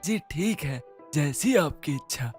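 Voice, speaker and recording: A woman voicing a caring, gentle middle-aged father in a cartoon, speaking softly. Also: sits low, expressive, slow, faint music behind. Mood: resigned.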